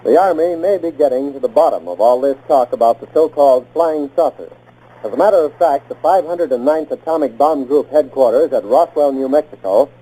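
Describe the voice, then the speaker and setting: A man's voice: fairly high pitch, mellow. Very muffled 1940s American radio correspondent, male. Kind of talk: 1940s radio news report, scripted.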